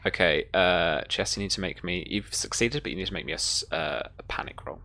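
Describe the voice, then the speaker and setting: Low, creaky-voiced game master. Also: brisk, bright, forceful tone. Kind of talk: running a tabletop roleplaying game.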